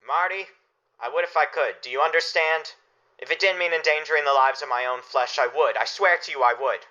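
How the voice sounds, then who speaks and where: An actor playing a stern, anguished father guarding his family, speaking gravely and emphatically in a radio play. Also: a little high, a little fast, sharp tone, lo-fi sound. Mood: anguished, adamant. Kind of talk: dramatic radio play acting.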